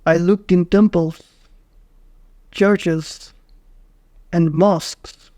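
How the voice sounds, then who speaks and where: A man narrating a guided meditation in short, measured phrases with frequent pauses. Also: high voice, fairly even intonation, darkish tone, no echo.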